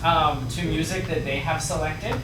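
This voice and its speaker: A middle-aged man, a theater teacher, talking informally and a little haltingly to a live audience. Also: fairly high pitch, steady tone. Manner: conversational, hesitant with frequent fillers. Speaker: theater director and workshop teacher. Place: small indoor theater stage. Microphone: distant camera microphone. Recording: echoey theater, far from mic, noisy.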